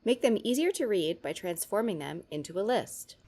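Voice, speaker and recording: A woman's voice: medium pitch, big pitch swings, moderate pace, darkish tone. A woman presenting scripted instructional tips, addressing the viewer in an instructive manner.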